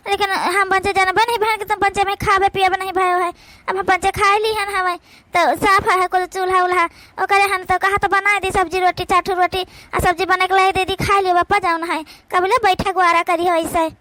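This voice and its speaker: A woman's voice: very high-pitched, level tone, normal speed, sharp tone, no room sound. A woman with an Awadhi accent voicing a fretting, tender-hearted village daughter-in-law in a cartoon. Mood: concerned. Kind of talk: amateur cartoon voice acting.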